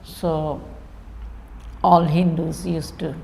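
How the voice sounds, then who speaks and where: A woman from India who grew up in Kenya speaks slowly and evenly about her childhood, her voice gravelly and lowish. A long reverb trails her words, with faint noise behind. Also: little pitch movement, dark tone. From India, raised in Kenya. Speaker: interviewee. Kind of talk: personal storytelling.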